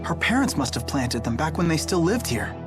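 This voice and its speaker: A boyish teenage lad, kind and inquisitive, with a very lively range of pitch. He sounds earnest and thoughtful, as in an anime game, with music behind. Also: brisk. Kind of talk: anime game dub acting.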